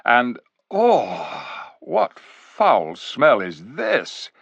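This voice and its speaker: A man's voice: mid-range voice, wildly swooping pitch, extremely slow, gravelly, a bit bright, breathy edge, dry recording, voice pushed up, full character voice. A man voicing a noble young king who speaks in a formal, old-fashioned way. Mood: grave. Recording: dull, dry recording.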